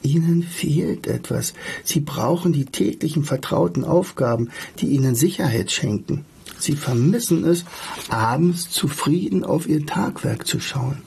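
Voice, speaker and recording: A man's voice: on the high side, unhurried, creaky, a little mellow, breathy edge, exaggerated voice. A man voicing an eager, breathless female bumblebee messenger, pleading earnestly in a storybook reading.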